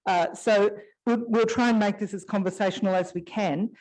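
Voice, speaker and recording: A woman's voice: medium pitch, steady tone, normal speed, darkish tone, rough recording. A woman moderating a conference panel, introducing speakers with chatty, easygoing asides. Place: conference hall. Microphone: shared stage microphone.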